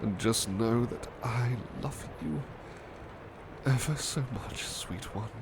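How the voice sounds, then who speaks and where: Guy doing a gentle shy butler plushie for asmr, really slow n halting, polite, tender, noisy background. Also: medium pitch, gravelly. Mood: sleepy, affectionate. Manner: hesitant, polite, tender, halting with soft repetitions. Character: gentle, bashful butler turned into a cuddly plush doll. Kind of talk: ASMR roleplay, soothing personal attention.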